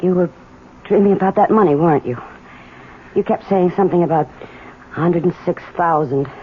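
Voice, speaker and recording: An actress playing an anxious, caring wife, soothing and concerned, in 1970s radio drama style. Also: female voice, lowish voice, brisk, lightly acted. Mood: concerned, hesitant. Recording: tinny old radio broadcast, faint hiss.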